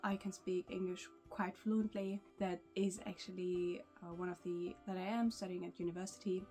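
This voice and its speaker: A woman's voice: on the low side, level tone, normal speed, warm tone, faint music behind. A young woman whose first language is German, talking casually to camera in English about learning languages, with frequent restarts and small laughs. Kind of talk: casual vlog talk to camera.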